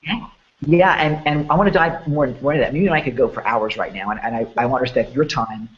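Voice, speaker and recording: A man hosts an event preview, his voice hoarse and a little congested from a cold. He rambles with eager enthusiasm and a middling pitch. The audio comes through a dull, lo-fi call line. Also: fairly even intonation, fast. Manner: rambling, enthusiastic, many restarts. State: hoarse and sniffling. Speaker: host. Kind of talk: promotional webinar chat.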